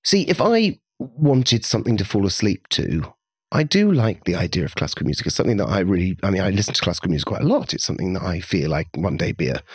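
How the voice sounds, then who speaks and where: A man's voice: sits low, sing-song, fast talker, husky, no room sound, clean studio sound. A British man co-hosting a podcast in casual chat, with a theatrical, camp turn of phrase. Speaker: podcast co-host.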